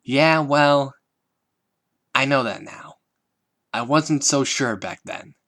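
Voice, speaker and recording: A man voicing a vulnerable, guilt-ridden teenage girl in a fan-made audio reading, speaking hesitantly and quietly. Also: mid-range voice, slow pace, dry recording, clean studio sound. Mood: relieved.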